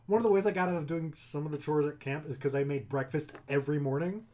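A man's voice: a little high, quick pace, darkish tone. A man co-hosting a casual chat, laughing easily, prompting his co-host and filling in explanations.

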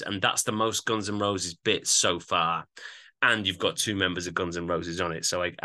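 A man co-hosting a podcast, giving casual track-by-track album commentary with measured critical judgments. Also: lowish voice, sharp tone. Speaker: podcast co-host.

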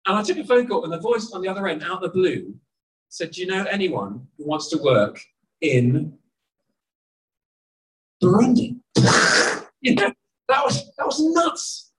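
Animated, high-pitched male preacher. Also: a little slow, pauses often, rough recording. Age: 40s. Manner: lively, conversational preaching with rhetorical questions. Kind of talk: sermon. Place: outdoor car park service.

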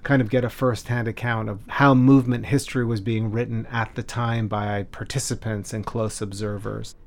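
A man speaking as a scholar in an interview, explaining history in a measured, emphatic way with deliberate lists. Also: on the low side, level tone, creaky. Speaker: scholar, expert commentator.

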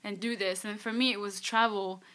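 A woman's voice: mid-range voice, fairly even intonation, fairly quick, fairly bright tone, clean tone. A young woman from France, a life coach, chatting casually as an interview guest with many fillers and quoted thoughts.

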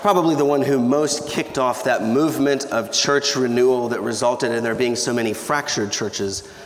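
A man's voice: medium pitch, steady tone, moderate pace. A man in his thirties preaching a sermon in a church with a slightly scratchy voice from allergies, talking in a conversational, humorous way. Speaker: pastor. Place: church sanctuary. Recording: echoey church hall with reverb.

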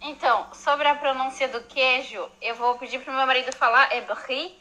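A woman's voice: sits high, steady tone, moderate pace, sharp tone, low-quality recording. A woman sending a chatty voice message to a podcast.

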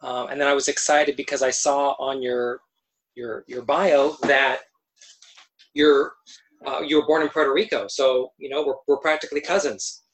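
A man's voice: mid-range voice, level tone, moderate pace, rough recording. A man hosting a podcast interview, asking questions with frequent restarts and repeated words. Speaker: podcast host.

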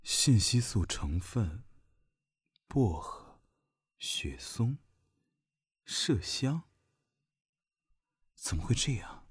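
An actor playing a young male general around thirty in a modern audio drama, familiar and musing, with a breathy voice. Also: lowish voice, sing-song, very slow, pauses often, no echo, exaggerated voice. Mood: musing, troubled.

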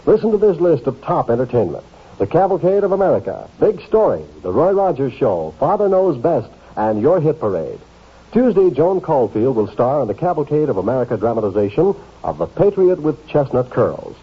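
A man's voice: a little high, very animated, moderate pace, husky. A man announcing a network promo in polished 1950s radio style. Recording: phone-like narrow old radio sound.

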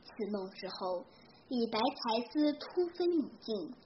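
A teenage girl from Hefei narrating a scripted legend in a careful, expressive recital style. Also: a little high, unhurried. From Hefei, Anhui, China. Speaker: young storyteller. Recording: dull lo-fi recording with clear background noise.

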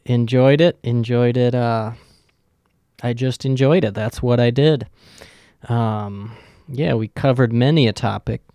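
A man's voice: medium pitch, a little slow, mellow, clean tone, no echo. A young man hosting his own podcast, talking in a loose, joking and rambling way, with lots of swearing. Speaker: podcast host. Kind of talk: casual rambling monologue.

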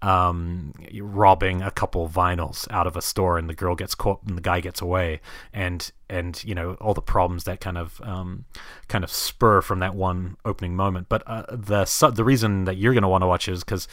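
A man's voice: low-pitched, fairly even intonation, moderate pace, fairly bright tone, no echo, clean studio sound. A man from New Zealand chatting casually about films as a podcast host, hesitant and rambling as he thinks aloud. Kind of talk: casual film discussion.

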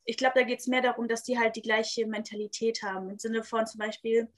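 A young woman with a fairly high voice chats casually in a group. She grew up in Germany with Spanish and Italian as first languages, talks in youth slang, acts out little quotes and keeps checking that the others follow her. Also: flat delivery, brisk. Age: young adult. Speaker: participant in a group talk.

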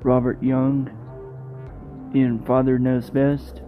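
Male podcast host, unhurried halting read, slightly muffled sound, soft guitar underneath. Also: medium pitch, monotone. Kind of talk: scripted podcast outro. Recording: dull, muffled podcast recording. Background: faint guitar music.

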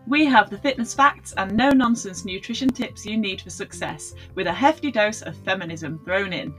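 A woman co-hosting a podcast, reading the intro and teasing her co-host with quick remarks. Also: mid-range voice, a bit bright, faint music behind, poor audio. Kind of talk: casual podcast chat and scripted intro.

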